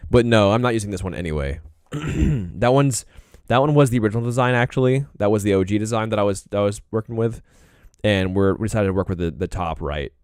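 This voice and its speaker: A young man talks loosely to his stream while he works, drifting from one thought to the next and clearing his throat now and then. His voice sits low and comes through smooth and clean. The sound is dry and close, with no room around it. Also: fast talker, a little mellow, no room sound. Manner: laid-back, rambling, frequent throat clearing. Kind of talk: casual live-stream chat while drawing.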